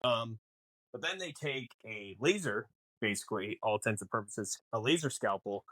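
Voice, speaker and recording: Animated man explaining in casual, halting conversation. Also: on the low side, dry recording, low-quality recording. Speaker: podcast co-host. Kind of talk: casual film discussion.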